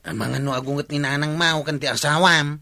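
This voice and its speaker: An actor playing a stubborn, hard-drinking bachelor tricycle driver in an Ilocano radio drama, with a drawling, dismissive manner. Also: male voice, a little high, brisk, gravelly, sharp tone. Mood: carefree.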